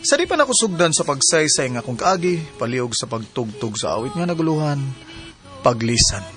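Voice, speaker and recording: Earnest young Cebuano man, highish voice. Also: big pitch swings, brisk, a bit bright, faint music behind. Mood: formal, narrating. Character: Eric, earnest young working man in love. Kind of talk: radio drama acting and narration.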